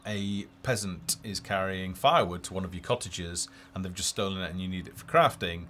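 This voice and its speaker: A man giving casual, low-key live commentary as a game developer, explaining features as he plays. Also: fairly quick, fairly bright tone, a little hiss or hum. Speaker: game developer presenting a livestream. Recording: music behind the voice.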